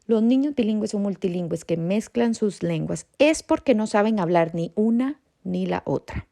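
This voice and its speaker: A woman's voice: mid-range voice, lively intonation, moderate pace, creaky, mellow, no echo, studio quality. A woman from Costa Rica with a Costa Rican Spanish accent, hosting an educational podcast in a reassuring tone, often checking in with a rising "¿sí?". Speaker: podcast host and bilingualism educator. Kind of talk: informal educational podcast talk.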